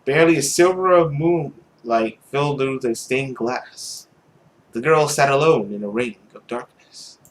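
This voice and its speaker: A man's voice: medium pitch, unhurried, poor audio. A man hosting a gaming video, switching between casual commentary and dramatic narration of a visual novel.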